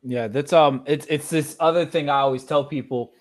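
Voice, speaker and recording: A man's voice: on the high side, normal speed. An American man hosting a livestream, chatting casually in a slangy, joking way. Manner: slangy, joking, animated when quoting others. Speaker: host of a livestream dialogue show. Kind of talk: casual chat.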